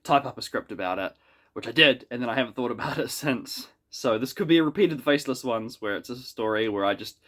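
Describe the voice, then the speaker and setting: A man's voice: mid-range voice, lively intonation, quick pace, fairly bright tone. A man with a New Zealand accent and a head cold, giving a chatty, wry spoken review in a casual, self-deprecating way from his small apartment. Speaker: YouTube reviewer.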